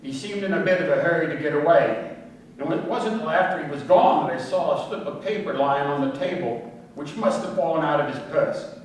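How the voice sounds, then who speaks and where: A man's voice: on the high side, brisk, lightly acted. An actor playing an earnest, deferential working-class male witness in a radio crime play, speaking respectfully and colloquially. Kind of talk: radio crime drama acting. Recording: echoey distant room, faint noise.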